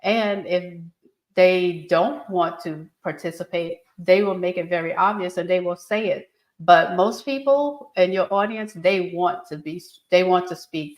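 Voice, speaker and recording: A woman with a slightly low voice lays out her advice as a speaking coach. She explains her points earnestly and step by step. Her voice carries a noticeable room echo. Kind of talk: interview answers.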